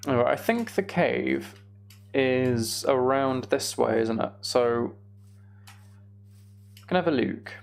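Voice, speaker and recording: A young man giving casual, meandering gameplay commentary on a live stream, thinking aloud and chatting to viewers, with a creaky voice. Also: medium pitch, expressive, slow, pauses often, slight background noise.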